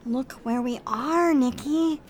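Eager android detective sidekick, female played by a woman, high voice, inquisitive and pressing, radio drama, faint noise behind. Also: takes it slowly. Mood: intent. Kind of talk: scripted radio drama acting.

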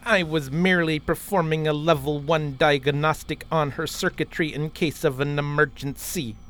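An actor playing a literal, self-important android officer with a formal, deadpan manner in a parody radio play. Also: medium pitch, moderate pace, slight background noise, lightly acted.

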